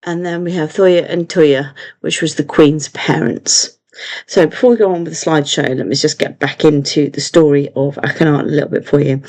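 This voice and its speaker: Female host, low and husky-throaty, casual live-lesson delivery. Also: darkish tone. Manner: chatty, with fillers and self-corrections. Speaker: online history tour host. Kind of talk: live-streamed history lesson.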